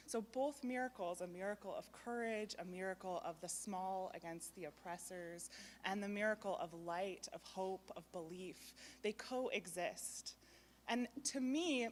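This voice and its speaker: Earnest woman speaking over echoing loudspeakers. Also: medium pitch. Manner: earnest, measured, slows for emphasis. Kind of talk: ceremonial speech. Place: outdoor ceremony with public address. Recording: echoey public address from far off.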